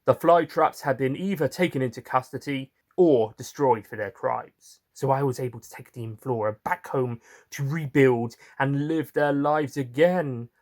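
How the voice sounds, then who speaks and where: A man's voice: mid-range voice, moderate pace, hardly any pauses, darkish tone. A man narrating a first-person story theatrically and in character, with chatty asides to the listeners. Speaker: writer and narrator reading in character.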